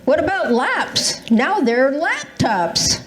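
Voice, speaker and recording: A woman's voice: high-pitched, very animated, slow, fairly bright tone, faint music behind, heavily acted. An older woman playing an exasperated, comically paranoid senior in an amateur readers theatre comedy, complaining wryly and theatrically. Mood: comic indignation. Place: indoor live readers theatre performance. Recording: roomy, echoing live stage pickup.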